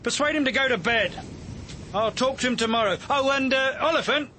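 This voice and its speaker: An actor playing a gruff, sardonic male police inspector in a radio drama, speaking in a blunt, wry, clipped way. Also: extremely high pitch, fairly quick, pushed and bright, a little hiss or hum. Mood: commanding. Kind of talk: acted British radio drama dialogue.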